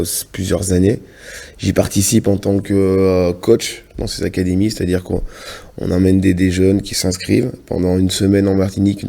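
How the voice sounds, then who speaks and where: Brief: French-raised man, low-pitched, halting and earnest studio guest. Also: gravelly, warm tone. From France. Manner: earnest, halting, restarts often. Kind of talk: casual TV interview answers. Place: TV studio set.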